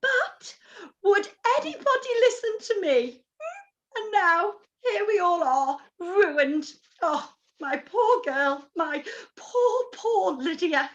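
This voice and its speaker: A woman's voice: very high-pitched, very animated, slow pace, lo-fi sound, full character voice. A woman playing a hysterical, self-pitying middle-aged mother in a period radio play, wailing and gasping theatrically. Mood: mournful.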